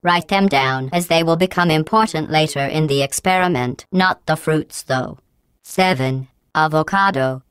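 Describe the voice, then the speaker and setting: A woman voicing a cold, passive-aggressive female AI that runs a test facility in a video game, speaking in a deadpan, mock-polite monotone through synthetic robotic processing. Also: deep voice, moderate pace, clean tone, no room sound.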